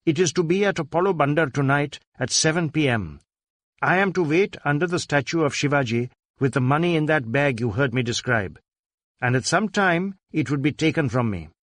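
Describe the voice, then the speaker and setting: A man voicing an anxious, wealthy Indian businessman in a crime story, halting and repeating himself. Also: fairly high pitch, expressive, fairly bright tone, dry recording, studio quality, voice pushed up. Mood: grave. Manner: halting, repetitive, incoherent babbling. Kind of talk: dramatized audiobook dialogue.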